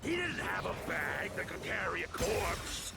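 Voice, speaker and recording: A man playing a frantic, menacing villain in a video game, theatrical and gravelly, often shouting. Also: sits high, very animated, measured pace, full character voice. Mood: combative denial. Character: menacing, frantic villain cornered in argument, raving. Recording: loud game music behind.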